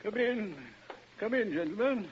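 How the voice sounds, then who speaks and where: An ailing, apologetic doctor in an old radio play. His pitch moves widely as he speaks with formal courtesy, on a muffled, faintly noisy vintage recording. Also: expressive, measured pace. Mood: weak, apologetic. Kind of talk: 1940s radio acting.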